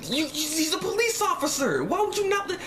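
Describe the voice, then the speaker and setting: A man giving a casual, rambling review of an animated series episode in slangy, exasperated speech with emphatic repetition. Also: extremely high pitch, brisk, noisy background. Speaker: online reviewer.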